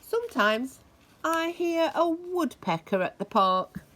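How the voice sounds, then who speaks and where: Slow-paced woman reading a children's story. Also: high voice, big pitch swings, a bit bright. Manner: deliberate, acts out noises expressively. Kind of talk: read-aloud children's story with imitated sound effects.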